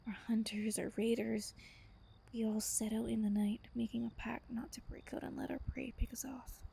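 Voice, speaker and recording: A woman playing a gentle, wistful female vampire, telling a bedtime story tenderly and intimately in a breathy, whispery, soft-spoken roleplay style. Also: fairly high pitch, level tone, moderate pace, slight background noise. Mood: somber, ominous. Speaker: voice actor in roleplay audio.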